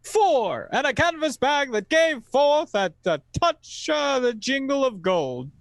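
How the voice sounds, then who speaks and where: A man playing a boy adventurer who narrates his tale in a radio play with deliberate, dramatic suspense. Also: extremely high pitch, very animated, no room sound, exaggerated voice. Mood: excited wonder.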